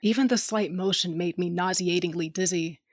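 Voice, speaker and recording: A woman's voice: on the low side, moderate pace, no room sound. A woman narrates an audio drama in the first person and also speaks as its lead. Her delivery is wry and determined. The recording is close and dead dry, with no room sound.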